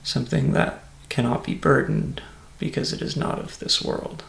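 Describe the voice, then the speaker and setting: Gravelly, soft-spoken male meditation guide. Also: mid-range voice, moderate pace, reverberant. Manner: soft-spoken, breathy near-whisper at times, calm and soothing.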